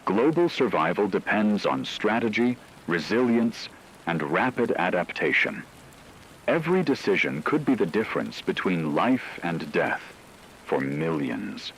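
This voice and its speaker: A man playing a solemn radio news anchor, reading scripted dramatic war reports in a measured, portentous way. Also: lowish voice, big pitch swings, creaky, a bit bright, heavily acted, low-quality recording. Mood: grave, solemn. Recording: faint rain and radio noise.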